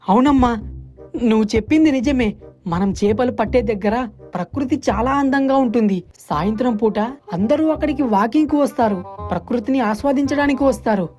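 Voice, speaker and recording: Woman as an earnest, high-voiced young fisher boy. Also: a little fast, warm tone, soft background music, close to an everyday voice. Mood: hopeful. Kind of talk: animated moral story dialogue.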